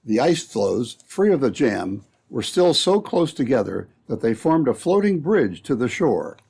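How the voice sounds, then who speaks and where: A man's voice: mid-range voice, big pitch swings, measured pace, gravelly, a little mellow, slightly rough. A man narrating an old-time radio adventure from a script, as the storyteller between scenes.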